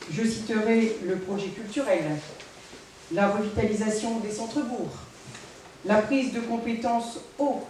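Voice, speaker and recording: A woman gives a formal political address. The sound is heavily reverberant and somewhat distant, as in a large hall. She phrases each point deliberately, like an official reading prepared remarks. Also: fairly high pitch, dark tone. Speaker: local politician, intercommunal council president. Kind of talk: formal prepared political speech read aloud. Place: large village hall, indoors. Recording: very echoey hall, distant mic, faint noise.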